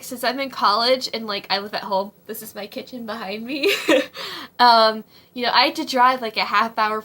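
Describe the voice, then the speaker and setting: A young woman in her twenties, slightly hoarse, giving casual advice from her home kitchen in a rambling way, with many ums and likes. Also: on the high side, sharp tone. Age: 20s. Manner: rambling, full of ums and likes, frequent laughs. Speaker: vlogger. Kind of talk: casual faith-based advice vlog.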